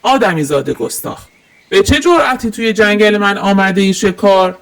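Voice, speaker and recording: A woman voicing a fat, lazy male wolf in a storybook, loud, bullying and domineering. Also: medium pitch, lively intonation, lightly acted, low-quality recording. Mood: angry, threatening.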